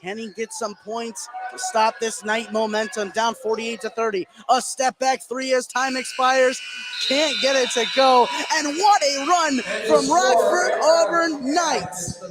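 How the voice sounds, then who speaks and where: A man doing live play-by-play radio commentary of a high school basketball game, with excitable delivery. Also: a little slow. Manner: excitable, voice swinging up on big plays. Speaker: play-by-play sports announcer. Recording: clearly noisy live gym broadcast. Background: gymnasium game noise.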